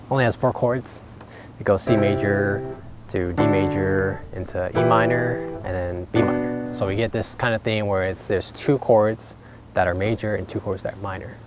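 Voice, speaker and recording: Relaxed young man explaining chords outdoors. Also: lowish voice. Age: young adult. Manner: relaxed, thinking aloud with fillers. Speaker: vlogger and songwriter. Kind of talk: casual explanatory vlog talk. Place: outdoors by a lake in winter. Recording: background music under voice.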